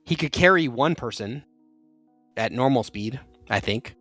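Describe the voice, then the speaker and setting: A man's voice: mid-range voice, animated, measured pace, a bit bright, no echo, faint music behind. A man acting as game master, explaining rules and setting up the scene for his players. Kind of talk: running a tabletop game.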